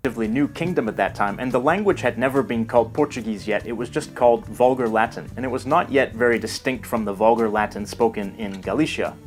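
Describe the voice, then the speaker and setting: A man narrating a scripted educational video in a measured, explanatory way. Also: mid-range voice, faint music behind. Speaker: documentary narrator.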